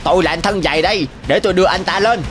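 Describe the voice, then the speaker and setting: A man's voice: sits high, very fast, noisy background, close to an everyday voice. A man voicing odd, loyal servants with strange powers in a fairy-tale cartoon, good-natured and eager. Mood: alarmed, eager. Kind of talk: animated fairy-tale dubbing.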